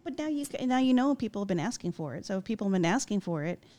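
A woman's voice: mid-range voice, expressive, racing, mellow. A woman hosting a podcast who gives encouraging replies in an interview and then reads the closing outro. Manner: encouraging, short backchannels. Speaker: podcast host.

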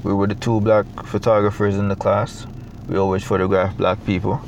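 Jamaican man, gravelly low voice. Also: little pitch movement, a little mellow, a little hiss or hum. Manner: reflective, halting, many fillers. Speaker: photographer, interview guest. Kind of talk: casual interview.

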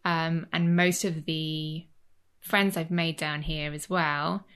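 Chatty woman, low voice. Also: little pitch movement, takes it slowly, fairly bright tone, clear, resonant tone. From Leeds, Yorkshire, England. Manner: chatty, rambling, many fillers and restarts. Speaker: guest. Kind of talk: casual conversation. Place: home in Cornwall.

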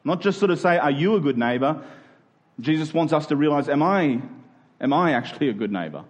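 A man's voice: on the high side, expressive, fairly quick. A man preaching a conversational expository sermon as a pastor, often posing rhetorical questions and checking in with his listeners. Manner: asks rhetorical questions with check-in tags like "right?" and "okay?".

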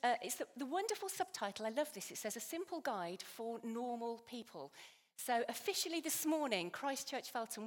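A woman from England preaching a sermon in a chatty, self-deprecating way, her voice a little hoarse. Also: fairly high pitch. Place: church hall. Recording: long church reverb.

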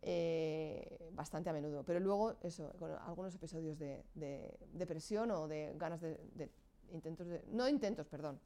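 A woman speaking Spanish as a second language, telling her own story with frequent pauses and restarts. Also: deep voice, warm tone. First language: not Spanish. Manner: hesitant, searching for words with frequent restarts. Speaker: guest sharing her experience. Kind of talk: candid personal account.

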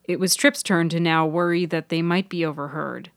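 Woman, scripted story narration, lower-leaning voice, very little room sound. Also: steady tone, normal speed, no room sound, clean studio sound. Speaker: author and narrator.

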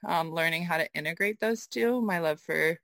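A woman's voice: lowish voice, moderate pace, gravelly, fairly bright tone, dry recording, studio quality. An American woman from Southern California answering interview questions as an artist guest, conversational and often searching for her words. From United States, mainly Southern California. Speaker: interview guest, designer and sculptor.

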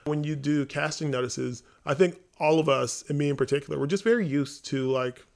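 A man talking casually on a podcast as one of the hosts, informal and animated, often acting out quotes. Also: on the high side, fairly quick, vocal fry. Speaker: theater company member and podcast host.

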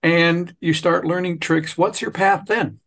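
A man's voice: on the high side, steady tone, a little slow, a bit bright. A man hosting a podcast in a casual, rambling, conversational way. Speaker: podcast host. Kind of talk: casual chat and interview.

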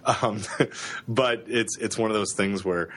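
Husky, deep-voiced man with a cold. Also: steady tone, fairly bright tone. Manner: halting, many fillers and restarts. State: has a cold, sniffling. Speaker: podcast co-host. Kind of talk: casual podcast chat. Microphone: close studio microphone.

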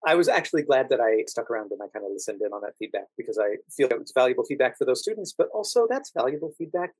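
Calm American man, closely recorded. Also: mid-range voice, husky, dry recording, rough recording. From Washington DC, United States. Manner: calm, quiet, measured. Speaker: podcast guest, actor and standardized patient. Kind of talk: conversational storytelling interview.

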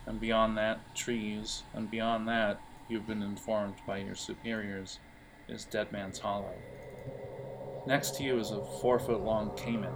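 A man narrating an improvised tabletop role-playing game as game master, often halting as he thinks aloud. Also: fairly low pitch, a little hiss or hum, low-quality recording. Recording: music playing under the voice.

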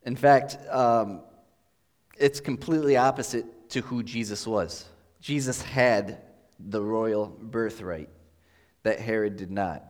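A pastor giving a relaxed, conversational sermon, explaining as he goes with thinking-aloud pauses, recorded in a church sanctuary. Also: lowish voice. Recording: long hall reverb.

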